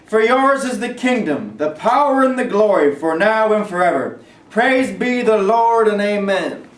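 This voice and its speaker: A man's voice: high voice, lively intonation, unhurried. A man reading the part of a humble, polite runaway turned Union soldier, folksy and deferential, in an amateur stage play. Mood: solemn. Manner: deferential, stammering repeats, folksy. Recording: roomy, distant, faint background noise.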